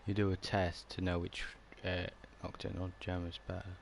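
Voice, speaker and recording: A man's voice: sits low, flat delivery, normal speed, gravelly. A man chatting casually as he streams a video game, in a rambling, laid-back way. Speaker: gaming streamer. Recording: faint background noise.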